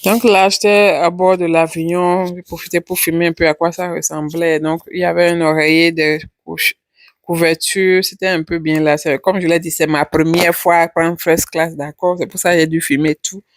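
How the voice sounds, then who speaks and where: A woman's voice: on the low side, flat delivery, normal speed, no room sound, clean studio sound. A woman vlogger chatting casually over her travel footage, rambling and repeating her words.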